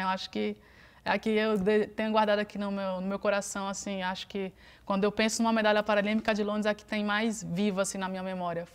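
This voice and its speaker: Brazilian woman swimmer in a studio interview. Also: medium pitch. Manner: relaxed and reflective, frequent fillers. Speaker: interview guest, Paralympic swimmer.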